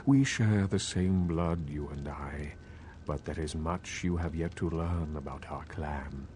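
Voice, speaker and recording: An actor playing a courteous, scholarly vampire regent in a video game, speaking formally with measured, old-fashioned politeness. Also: male voice, extremely low pitch, dark tone, a little hiss or hum.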